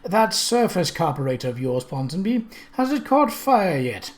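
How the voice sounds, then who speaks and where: A narrator voicing a disdainful, earnest English gentleman motorist who lectures precisely, in a storybook reading. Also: medium pitch, sing-song, moderate pace, close to an everyday voice. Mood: fervent. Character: tall thin English steam-car driver, disdainful and fervent.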